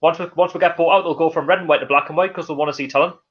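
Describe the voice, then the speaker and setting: A quick, chatty Geordie man hosting a live stream, talking in a slightly higher voice than most men. He reads comments and riffs on them with plenty of "uh" and "you know", and the audio is dull and lo-fi. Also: steady tone. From North East England. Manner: reads viewer comments aloud and reacts, chatty with many fillers. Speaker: livestream host. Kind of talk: casual live-stream chat.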